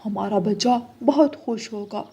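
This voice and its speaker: A man's voice: high-pitched, unhurried, warm tone, breathy edge, heavily acted. A man voicing an earnest, hardworking father bird in a children's cartoon story.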